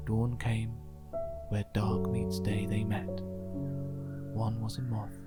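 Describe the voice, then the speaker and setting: A storybook narrator reads gently for children at a slow pace. The pitch sits in a middle range, and music plays loudly behind the words. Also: flat delivery. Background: music bed.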